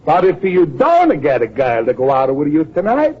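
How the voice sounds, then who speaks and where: A husky, swaggering Italian sport. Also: male voice, on the high side, wildly swooping pitch, moderate pace, full character voice. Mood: teasing, boastful. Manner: taunting, swaggering, broken English. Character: boastful, teasing Italian immigrant sport. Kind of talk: old-time radio comedy acting. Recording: muffled old radio broadcast recording.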